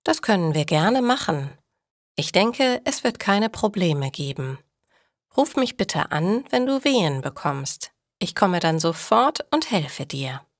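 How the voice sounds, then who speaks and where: A busy, kindly young midwife from an audio storybook, voiced by a woman narrator. She is earnest and clearly spoken, with swinging intonation and unhurried delivery. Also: fairly low pitch, sing-song, no echo, studio quality, heavily acted. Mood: reassuring. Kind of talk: audio storybook dialogue for learners.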